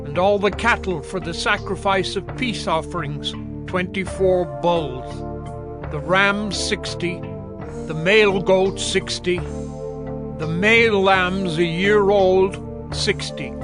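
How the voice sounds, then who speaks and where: Male narrator, high voice, unhurried, formal scripture reading, music underneath. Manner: measured, formal.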